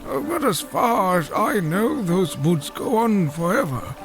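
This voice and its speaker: An actor playing an elderly, long-winded fish-man scholar in an audio drama, with a gravelly voice and a halting, formal storytelling manner. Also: high voice, very animated, music in the background, exaggerated voice. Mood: musing.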